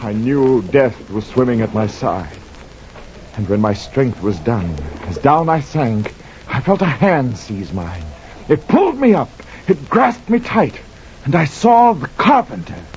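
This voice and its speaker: A man's voice: mid-range voice, big pitch swings, darkish tone. An actor playing a haunted, penitent mariner who tells his tale in grave, poetic radio-drama verse. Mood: fervent dread. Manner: slow, solemn, impassioned, poetic delivery. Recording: dull lo-fi radio recording, clear noise.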